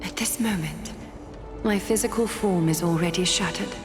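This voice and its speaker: A woman's voice: lowish voice, normal speed, lightly acted. A woman voicing a serene, fading demigoddess in a fantasy game, composed, formal and resigned. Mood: serene resignation. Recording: long echoing reverb, music underneath.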